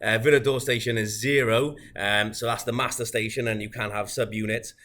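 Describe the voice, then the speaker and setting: A man giving an informal, methodical, unscripted software walkthrough as a product demonstrator. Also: lowish voice, steady tone, a little fast.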